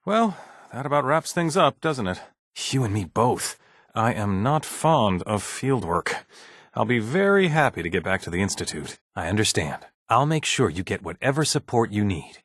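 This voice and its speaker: An actor playing a mild, bureaucratic scientist in a video game, polite, measured and slightly uneasy, with a hesitant, deferential manner. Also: male voice, fairly low pitch, sing-song, fairly bright tone, dry recording, clean studio sound. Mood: relieved, courteous. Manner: hesitant, deferential, measured office-professional speech. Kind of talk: video game dialogue acting.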